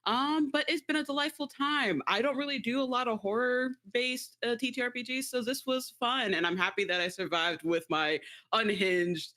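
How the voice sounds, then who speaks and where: Mid-pitched voice, bright, playful, theatrical, casual sign-off. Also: steady tone, moderate pace, hardly any pauses. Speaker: guest player.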